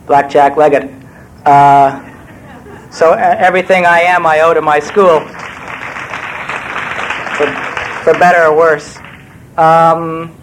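An older New man with a slightly raised pitch talks through his craft in a wry, meandering way. He pokes fun at himself as he digresses. A faint low rumble sits under his voice. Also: rough recording. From New York. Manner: wry, digressive, self-deprecating. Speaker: screenwriter guest speaker. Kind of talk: Q&A talk to an audience.

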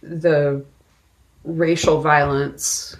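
Low-pitched, slow-talking woman with creaky voice. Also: little pitch movement, poor audio. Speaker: podcast co-host discussing films. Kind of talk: casual conversational film discussion.

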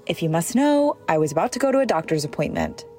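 An exasperated, animated, sharp-tongued nun. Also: female voice, lowish voice, fast talker, faint music behind. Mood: irritated. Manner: curt, sarcastic. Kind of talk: sci-fi comedy audio drama acting.

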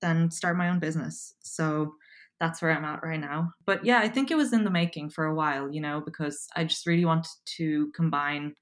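Low-voiced Irish woman in her twenties. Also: normal speed. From Poland. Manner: chatty, many likes and ums. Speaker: guest, copywriter. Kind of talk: casual interview chat.

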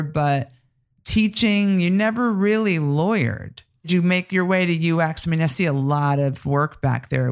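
A woman hosting a podcast in an informal, effusive, joking way, in casual conversation. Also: deep voice. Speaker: podcast host.

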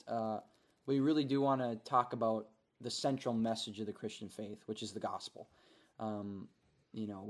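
Earnest young man hosting a podcast. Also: fairly low pitch. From Minnesota. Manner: casual, earnest, frequent fillers and restarts. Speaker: podcast host. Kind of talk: casual conversational podcast.

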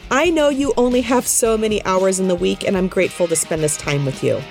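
A woman's voice: mid-range voice, a little fast, darkish tone, faint music behind. A woman hosting a solo podcast, telling stories in a direct, conversational way from a script. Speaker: podcast host, recruiter.

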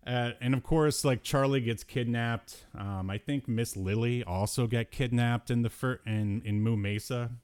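A man co-hosting a podcast in casual, unscripted talk, often halting as he gathers his thoughts. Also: medium pitch, steady tone, a little mellow, smooth, dry recording, clean studio sound. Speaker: podcast co-host.

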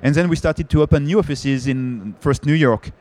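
French-accented male panelist, halting delivery with frequent restarts. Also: fairly high pitch, darkish tone. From France. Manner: hesitant, many fillers and restarts. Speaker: panelist, company founder. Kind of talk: conference panel discussion.

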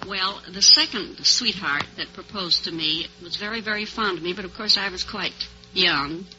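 A woman's voice: medium pitch, normal speed, sharp tone, faint music behind. A woman answering as a contestant on an old-time radio quiz, chatty and polite. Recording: dull vintage radio recording.

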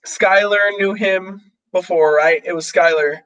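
A young man talking casually with a fellow student, his voice sitting slightly high. He rambles and restarts often, packing in fillers as he works through his thoughts. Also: steady tone, unhurried, a bit bright, dull audio. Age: young adult. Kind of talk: casual chat.

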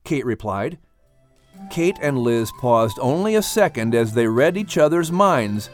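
A man narrating and announcing in an animated, scripted storytelling style. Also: mid-range voice, unhurried, faint music behind.

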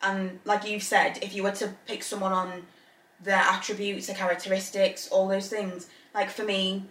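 A woman's voice: mid-range voice, level tone, moderate pace, fairly bright tone, far from the mic. A woman from Zambia co-hosting a podcast, thinking aloud with restarts and easy laughs. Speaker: podcast co-host. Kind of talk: casual chat. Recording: echoey room, voice a little off-mic.

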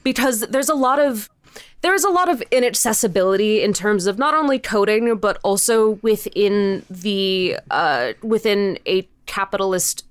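A woman's voice: medium pitch, lively intonation, moderate pace, clean tone, no echo. Woman, interview guest, casual storytelling, informal and emphatic, rambling, very dry close sound. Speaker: interview guest, creator of a podcast show.

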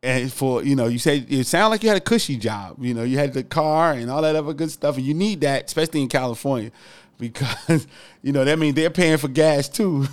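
Guy hosting a podcast, clear smooth voice, laughs a lot, very encouraging. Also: mid-range voice. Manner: encouraging, laughs while talking. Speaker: podcast host. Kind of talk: casual interview conversation.

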